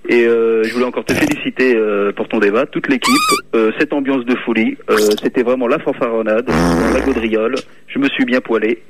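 A man's voice: mid-range voice, steady tone, fairly quick, few pauses, noisy background. A man on a radio show who talks in a mocking, teasing way.

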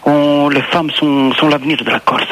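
A man with a Corsican accent calling in to a comic radio show, answering in a deadpan way. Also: a little high, normal speed, background noise. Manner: deadpan, keeps repeating "tout à fait". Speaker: caller. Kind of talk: prank call-in banter.

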